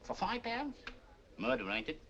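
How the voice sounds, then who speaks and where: Cockney pub musician, streetwise haggler, bright lively voice. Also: male voice, high-pitched, lo-fi sound, slight background noise, exaggerated voice. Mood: knowing. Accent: London Cockney. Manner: brash, streetwise, quick to bargain. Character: cheeky Cockney pub musician, middle-aged man. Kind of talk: 1940s film acting.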